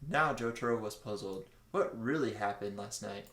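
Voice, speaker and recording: A man's voice: lowish voice, moderate pace, a bit bright. A man co-hosting a casual comedic read-aloud of fan fiction, stumbling over words and correcting himself as he goes. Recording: roomy, echoey home recording.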